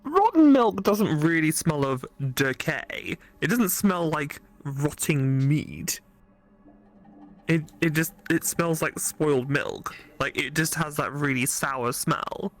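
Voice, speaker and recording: A man's voice: on the high side, lively intonation, slow. A man co-commentating on a game in casual banter, given to mock-indignant ranting. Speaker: guest co-commentator on a gaming video.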